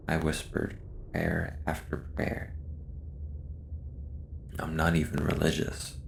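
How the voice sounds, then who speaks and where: Deep, gravelly man narrating slowly. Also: a little hiss or hum. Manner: slow, measured, subdued delivery. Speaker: horror story narrator. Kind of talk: scripted first-person horror narration.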